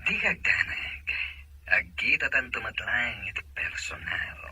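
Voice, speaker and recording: A man acting a secretive American suitor with a raspy, breathy voice in an audio drama. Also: mid-range voice, big pitch swings, a little slow, background noise. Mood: coaxing. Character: American suitor speaking Ilocano, smooth-talking, secretive.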